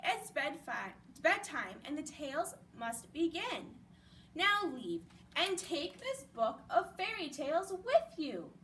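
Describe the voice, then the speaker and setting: A woman's voice: high-pitched, big pitch swings, slow pace, fairly bright tone, heavily acted. A woman voicing a flustered, bossy female headquarters chief in a storybook, scolding and exclaiming. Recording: distant mic with background noise.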